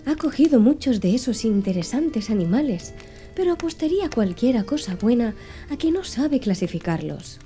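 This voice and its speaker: Woman reading as mellow, precise, pedantic man. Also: fairly high pitch, sing-song, moderate pace, faint music behind. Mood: didactic, patient. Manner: didactic, precise. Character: Conseil, earnest, pedantic man reciting fish classifications. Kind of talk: audiobook character dialogue.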